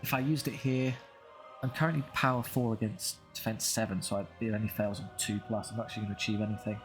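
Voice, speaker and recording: A man's voice: on the low side, fairly even intonation, quick pace, soft background music. A man giving casual, understated commentary on his strategy game playthrough, thinking aloud and dryly talking to his units. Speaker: gaming video creator commentating his own playthrough.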